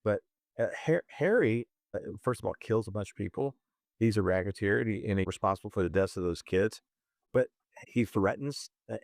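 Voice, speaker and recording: Man, low voice, dry close sound, halting delivery, casual film discussion. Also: creaky, no room sound. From moved to Zambia at eleven, schooled in Kenya. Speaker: film podcast host.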